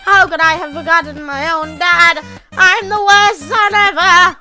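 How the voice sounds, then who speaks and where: Very high, naive, theatrical skeleton brother. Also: female voice, fairly even intonation, measured pace. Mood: guilty. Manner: theatrical, earnest, exclaiming. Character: naive, earnest, dramatic young skeleton brother. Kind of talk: cartoon comic dub acting. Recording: music behind the voice.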